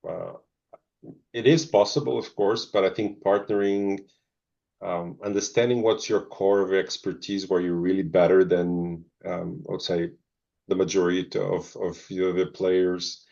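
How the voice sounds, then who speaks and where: A middle-aged man, answering interview questions thoughtfully and pausing often with ums and restarts. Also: deep voice, vocal fry, a little mellow. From Zimbabwe, Portuguese family. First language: Portuguese. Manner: hesitant, many ums and restarts. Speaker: pharma executive guest. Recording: dull lo-fi audio.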